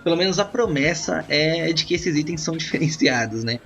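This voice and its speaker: A man acting as game master, improvising roleplay narration in an informal, conversational, halting way. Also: on the high side, animated, fairly bright tone. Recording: slightly muffled, band-limited audio with music. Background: background music.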